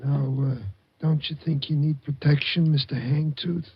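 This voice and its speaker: A man playing a taunting, brutal protection-racket thug in an old radio crime drama, rough and needling. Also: on the high side, level tone, normal speed, heavy background noise, lightly acted. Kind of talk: 1940s-50s radio crime acting. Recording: dull old radio, loud music.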